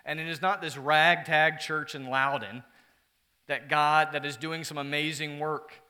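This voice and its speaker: A male pastor delivering a sermon with a bright, forward voice that sits a little high. He pushes hard on key lines, then drops to a hushed, earnest tone. He jokes with the congregation between points. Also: steady tone, measured pace. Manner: passionate, swings between loud emphasis and hushed earnest tones; humorous asides to the congregation.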